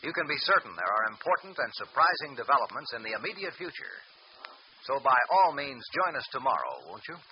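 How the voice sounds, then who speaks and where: A man's voice: medium pitch, big pitch swings, fairly quick. A male announcer giving scripted, suspenseful narration for an old radio serial. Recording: dull old radio recording with hiss.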